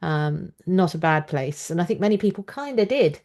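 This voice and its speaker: A woman's voice: lowish voice, lively intonation, normal speed, a little mellow. A woman hosting a conversational interview in a chatty, informal way. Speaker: podcast host and interviewer.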